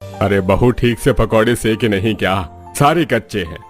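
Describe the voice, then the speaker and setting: A man's voice: on the low side, normal speed, soft background music, natural voice. An actor playing an older, genial, food-loving father-in-law in a cartoon story. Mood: mildly complaining. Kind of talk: Hindi cartoon story dialogue.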